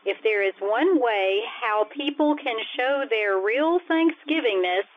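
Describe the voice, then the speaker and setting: An actress playing a sardonic high school English teacher with dry, deadpan asides in an old-time radio comedy. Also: female voice, slow. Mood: dry, amused. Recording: tinny, phone-like old radio-style sound.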